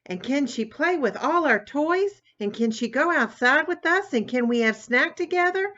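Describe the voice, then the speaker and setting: A woman voicing a bossy, bragging young girl turtle in a storybook, boastful and shrill when angry. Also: expressive, normal speed, a bit bright, highs cut off. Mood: eager.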